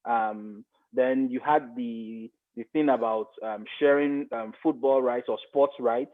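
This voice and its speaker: A man's voice: medium pitch, fairly even intonation, unhurried. Nigerian man explaining, slightly phone-like radio sound. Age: middle-aged. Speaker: guest, broadcast media expert. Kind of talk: podcast interview, explaining an opinion.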